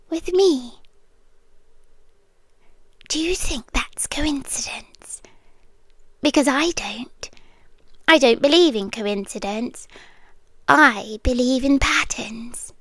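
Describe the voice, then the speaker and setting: A British woman voicing a young, obsessive yandere girl in an intimate ASMR roleplay, sweetly unsettling and lovestruck, speaking softly and hesitantly and drifting into whispers. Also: high voice, no room sound, exaggerated voice. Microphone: close microphone, dry.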